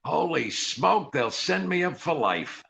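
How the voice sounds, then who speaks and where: An American man playing a long-suffering comedian husband in 1940s radio comedy style, dry and incredulous with a gravelly voice. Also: a little high, very animated, slow pace, fairly bright tone, slight background noise, exaggerated voice. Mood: exasperated.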